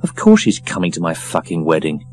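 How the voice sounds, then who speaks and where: A man's voice: deep voice, wildly swooping pitch, brisk, over music, voice pushed down. A man voicing a blunt, intimidating woman who works in film, curt and scornful, in an audiobook.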